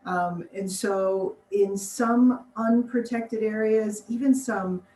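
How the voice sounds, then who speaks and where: An American woman talking in a relaxed, chatty way, often laughing and playing out little quotes. Her voice sits at an ordinary pitch. She sounds set back from the mic in an echoey room, with a faint hiss underneath. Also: slow pace. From United States. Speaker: biologist guest. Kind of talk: casual interview answers. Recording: distant roomy mic with faint noise.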